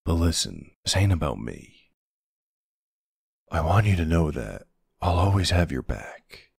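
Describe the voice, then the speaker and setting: A man playing a gruff but tender, protective older man in an intimate ASMR boyfriend roleplay, speaking hesitantly with casual endearments. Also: deep voice, very animated, measured pace, a little mellow, no echo, clean studio sound, exaggerated voice. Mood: protective, reassuring. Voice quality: gravelly, husky. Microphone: close, dry microphone.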